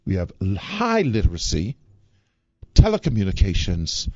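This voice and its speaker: A man's voice: lowish voice, huge pitch swings, moderate pace, a little mellow, dry recording, dull audio. A man from the Bahamas hosting a live radio talk show, emphatic and playful.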